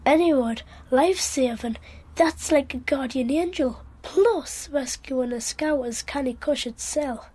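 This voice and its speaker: A woman's voice: high-pitched, a little slow, darkish tone. A woman playing an earnest, inquisitive little Geordie boy in a radio drama, speaking in a naive, wide-eyed way. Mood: dreamy, earnest. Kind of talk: radio drama monologue and dialogue.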